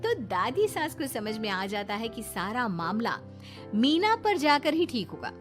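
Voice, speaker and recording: A woman's voice: fairly high pitch, very animated, measured pace. A woman giving scripted narration of a moral story as its narrator. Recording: music behind the voice.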